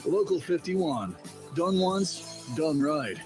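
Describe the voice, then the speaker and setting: A man's voice: a little high, big pitch swings, slow pace. A man reading a scripted radio ad as a commercial announcer. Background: music.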